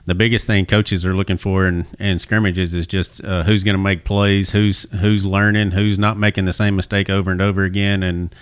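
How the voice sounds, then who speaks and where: A man hosting a casual sports chat, halting as he feels his way through sentences. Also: low voice, little pitch movement, clean tone, no echo, clean studio sound. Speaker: podcast host.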